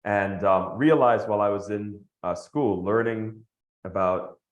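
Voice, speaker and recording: A man from Nigeria and the Bahamas, a podcast guest telling his story in an animated way, laughing as he goes. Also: lowish voice, unhurried, reverberant, highs cut off. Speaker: guest, company founder. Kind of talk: podcast interview.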